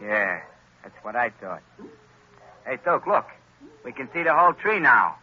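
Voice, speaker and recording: A man's voice: medium pitch, big pitch swings, a little slow, breathy edge. An actor playing a dim henchman who talks in slangy, uneducated grammar, in 1940s radio acting on a lo-fi old broadcast. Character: nervous dim henchman man.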